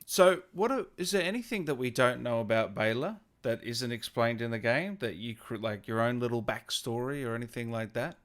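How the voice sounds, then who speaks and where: A man's voice: medium pitch, animated, normal speed, hardly any pauses. A man hosting a casual livestream interview, asking questions and reading out viewer comments. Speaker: interviewer and stream host.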